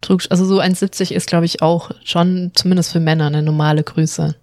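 Chatty low-voiced woman, dry close sound. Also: flat delivery, brisk, dry recording. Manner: chatty, laughs often, many fillers. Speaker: co-host. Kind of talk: casual chat. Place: living in Japan.

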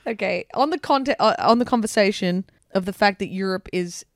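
A young woman with an Australian accent talks in a relaxed, filler-heavy way, swearing freely and teasing her co-host. Her voice sits at an ordinary pitch for a woman and is recorded dry and close. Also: brisk, no room sound. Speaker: podcast co-host. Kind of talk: casual chat. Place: abroad, travelling in Italy.

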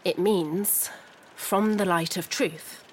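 A woman's voice: lowish voice, takes it slowly, a little mellow, slight background noise. An actress playing a refined, determined, anxious wife of a missing man in a 1930s noir audio drama, formal and articulate. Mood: composed, informative. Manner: formal, articulate, clipped politeness. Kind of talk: scripted period noir audio drama acting.

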